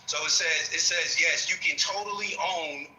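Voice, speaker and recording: A man's voice: fairly high pitch, normal speed, sharp tone. A man in casual heated debate, talking slang and calling everyone bro. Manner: slangy, keeps saying bro. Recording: echoey room, faint noise.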